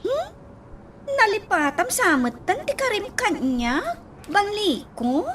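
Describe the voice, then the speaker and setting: A woman's voice: very high voice, sing-song, slow pace, distant mic, crowd noise, exaggerated voice. A woman playing a lazy, demanding young wife in a radio drama, whining and bossing. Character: bossy, lazy, demanding young wife who wants pampering. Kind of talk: Filipino radio drama acting.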